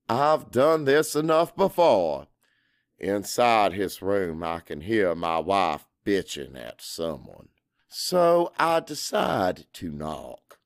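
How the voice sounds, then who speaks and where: Male audiobook narrator, mid-pitched, unhurried dramatic delivery with pauses, close dry booth sound. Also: dry recording. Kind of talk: dramatic first-person fiction narration.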